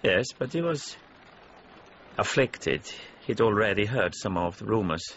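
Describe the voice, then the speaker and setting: A man's voice: on the low side, lively intonation, measured pace, fairly bright tone, highs cut off, slight background noise. An actor playing a worldly, gossipy male informant in a radio drama. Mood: conspiratorial.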